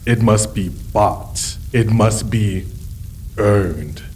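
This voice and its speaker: A man acts out a regal, cruel tyrant of hell in an audio drama. He bargains coldly in a low voice, measuring each word very slowly. Faint noise sits behind him. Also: a little mellow. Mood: cold, commanding. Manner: slow, pompous, measured.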